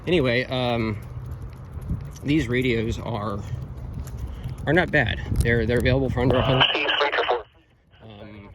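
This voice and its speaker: A man giving an informal, technical gear review of handheld radios in a halting, rambling, matter-of-fact way. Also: mid-range voice. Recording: very noisy, radio static and chatter. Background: radio hiss and transmissions.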